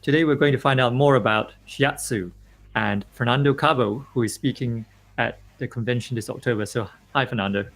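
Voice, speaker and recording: A man's voice: medium pitch, fairly quick, a bit bright. A man from Malaysia hosting an online interview, reading a formal introduction and then asking questions, with frequent fillers and restarts. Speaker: host and interviewer.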